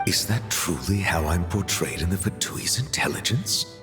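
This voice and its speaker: A deep, stately dragon judge from a game. His speech is formal and earnest, widely inflected, with polite awkward hesitations and faint music behind. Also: lively intonation, moderate pace. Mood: earnest, slightly wounded. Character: dignified, courteous male dragon judge, earnest and socially awkward. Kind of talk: video game voice acting.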